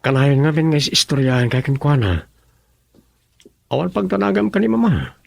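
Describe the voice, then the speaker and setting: An actor playing a tender, earnest young suitor with a husky voice and an Ilocano Filipino accent in a radio drama. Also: male voice, fairly high pitch, animated, moderate pace, no echo, full character voice. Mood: earnest, coaxing. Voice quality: husky, creaky.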